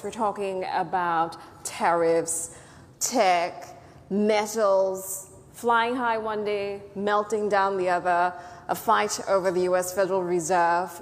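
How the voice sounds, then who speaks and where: A woman business news presenter opening a panel in a brisk, articulate way. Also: medium pitch, big-room sound.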